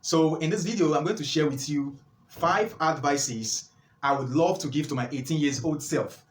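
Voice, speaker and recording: A man's voice: fairly high pitch, normal speed, echoey room. Earnest nineteen-year-old man giving advice. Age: young adult. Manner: earnest, preachy, repeats phrases for emphasis. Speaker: vlogger. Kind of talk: motivational advice vlog.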